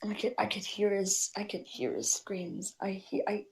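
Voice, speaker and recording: A woman puppeteer chatting casually as a guest, in a halting, hesitant way. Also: mid-range voice. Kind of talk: casual chat.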